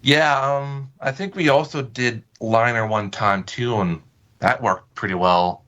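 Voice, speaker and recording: A man's voice: mid-range voice, a little slow, a bit bright, lo-fi sound. A man speaking as an interview guest, informal and hesitant as he feels for his words. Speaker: podcast guest. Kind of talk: casual interview chat.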